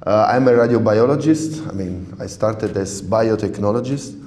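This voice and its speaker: Italian guy speaking english, lots of uhs and restarts, deep-ish, echoey hall. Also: moderate pace, darkish tone. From southern Italy. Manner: hesitant, searching for words, many fillers. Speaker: radiobiologist guest. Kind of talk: interview conversation.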